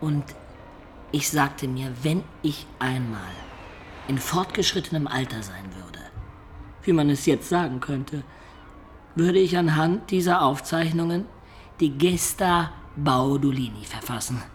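A man's voice: on the high side, animated, measured pace, a little hiss or hum. An actor playing a roguish man of peasant birth, narrating his life colloquially and reflectively in a radio play.

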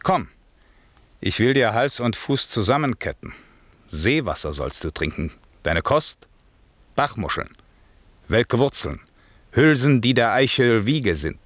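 A man's voice: medium pitch, very animated, measured pace, pauses often, fairly bright tone, no echo. An actor playing a stern middle-aged sorcerer father in a classic radio drama, imperious and scolding, with theatrical delivery. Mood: threatening. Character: stern, commanding sorcerer father, lord of an island. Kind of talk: classic German radio drama verse acting.

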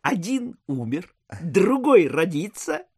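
A sly, glib government clerk in an audio drama. The voice is high for a man and swoops about, unhurried and knowing. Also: very animated, husky, exaggerated voice. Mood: sly, reassuring. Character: sly male clerk talking in glib rhymes.

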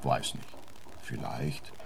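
A man's voice: takes it slowly, a little hiss or hum, natural voice. A man playing a simple, deferential manservant in a radio drama, answering in a terse, subdued, guarded way. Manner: terse, subdued, unlettered grammar. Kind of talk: German radio drama acting.

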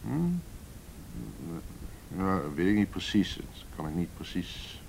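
Blustering, defensive businessman, very animated. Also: low-pitched, slow pace, creaky, slight background noise, exaggerated voice, rough recording. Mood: uneasy, evasive. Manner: indignant, evasive, stammering under pressure. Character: defensive, blustering businessman, a stepfather accused by police. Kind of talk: naturalistic radio play acting.

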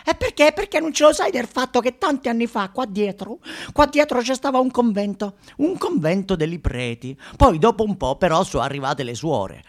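A man's voice: sits high, very animated, moderate pace, voice pushed up. A man voicing an elderly Roman local, a gossipy storyteller who talks emphatically and colloquially in a stage monologue. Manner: colloquial, emphatic, repeating phrases. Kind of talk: theatrical monologue.

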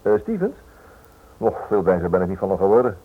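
A tinny old radio recording carries a Dutch writer, low and husky. He is stubborn and wry, probing with ironic, lively swings and small hesitations. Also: fairly quick, full character voice. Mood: evasive. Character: stubborn, suspicious male writer, wry and defiant. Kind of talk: radio play acting.

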